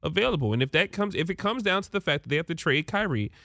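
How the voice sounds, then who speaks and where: A man's voice: fairly high pitch, sing-song, quick pace, vocal fry, fairly bright tone, no room sound, clean studio sound. A man in casual sports talk, informal and slangy, pressing his opinions as a panelist. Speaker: sports betting show panelist.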